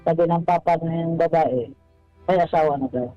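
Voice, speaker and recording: A man calling a radio show, telling his personal story in a polite, halting way. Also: a little high, fairly even intonation. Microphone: telephone. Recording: dull phone-in line, music bed.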